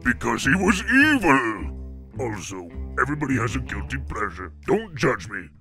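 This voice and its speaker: Man voicing a dramatic cartoon villain, lively pitch. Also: moderate pace, soft background music, heavily acted. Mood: defensive. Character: defensive, theatrical supernatural villain, punisher of evil. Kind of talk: comic dub voice acting.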